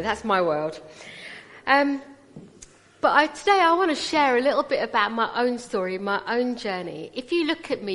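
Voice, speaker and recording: A woman's voice: fairly high pitch, fairly bright tone. Chatty British woman preaching in a reverberant hall. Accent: British English. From Punjab, India. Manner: chatty, teasing, draws the audience in. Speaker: guest speaker at a church. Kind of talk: personal testimony sermon. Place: church hall, indoors. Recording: long reverb of a large hall.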